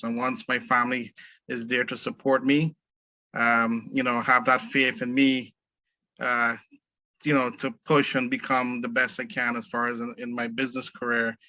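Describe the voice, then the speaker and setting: Man, middle-aged, from the Caribbean, earnest, measured, bright voice, dull recording. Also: medium pitch, no room sound. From Caribbean, born in Trinidad, raised in Barbados. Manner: measured, earnest, many fillers and restarts. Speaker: guest, entrepreneur. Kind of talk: casual interview conversation.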